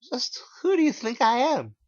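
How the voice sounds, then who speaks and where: A man voicing a panicky, whiny, dumbfounded hero in a dramatic story reading, stammering and shouting in disbelief. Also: very high-pitched, very animated, normal speed, muffled recording, voice pushed up, heavily acted. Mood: shocked, incredulous. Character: panicky, dumbfounded male protagonist, pleading and whiny.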